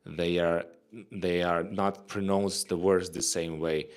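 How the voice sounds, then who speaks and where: A man's voice: low voice, level tone, slow. A man from Ukraine with a Ukrainian accent, co-hosting a casual livestream chat, rambling and repeating words as he searches for phrasing. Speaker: co-host.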